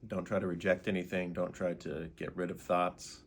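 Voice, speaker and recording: A man leading a guided meditation in an unhurried way, gently asking questions and leaving pauses. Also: deep voice, little pitch movement, slight background noise.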